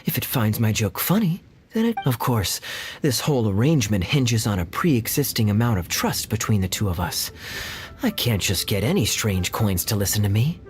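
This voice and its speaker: An actor playing a cunning, playful, self-assured gambler in a video game, with smooth theatrical delivery. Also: mid-range voice, very animated, moderate pace, a little hiss or hum, natural voice. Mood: playful, smug. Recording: game music behind the voice.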